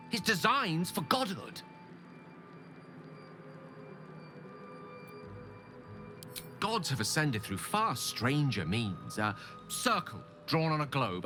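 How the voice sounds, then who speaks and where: A man acting as an eloquent, ambitious wizard in a video game, speaking in theatrical, erudite phrases with dramatic pauses. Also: a little high, exaggerated voice. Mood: grandiose. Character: ambitious, eloquent male wizard hungry for godlike power. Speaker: game character, wizard companion.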